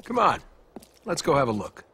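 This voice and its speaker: A man's voice: mid-range voice, wildly swooping pitch, moderate pace, vocal fry, a bit bright, slight background noise, heavily acted. A young man voicing a brash, flippant gun-for-hire in a video game, cocky and colloquial.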